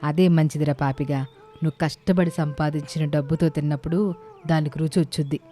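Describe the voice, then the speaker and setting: A bossy older village aunt voiced by a woman in a cartoon moral tale, scolding and preaching in colloquial speech. Her voice is deep for a woman, over a music bed. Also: fairly even intonation, fairly quick, dark tone, close to an everyday voice. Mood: moralizing. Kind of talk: animated moral-story dialogue.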